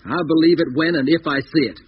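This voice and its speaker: An actor playing a stubborn, sceptical scientist on a spaceship crew in a 1950s radio drama, argumentative, dismissive and emphatic. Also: male voice, a little high, steady tone, fast, lightly acted. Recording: dull, hissy 1950s radio recording.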